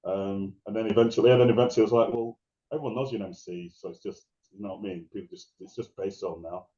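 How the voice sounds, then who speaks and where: A middle-aged man with a deep, dark, mellow voice tells stories in a loose, rambling way, full of restarts. He sounds like a guest on a remote interview, and his voice rings in an echoey space. The recording sounds dull and lo-fi. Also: fairly even intonation, fast talker. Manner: rambling, restarts and laughs while telling stories. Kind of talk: casual interview conversation.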